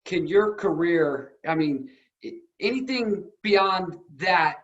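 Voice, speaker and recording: An American man with a fairly high voice hosts a casual interview in an effusive, admiring way. The audio is somewhat dull and lo-fi. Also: expressive, takes it slowly, a bit bright. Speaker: interview host.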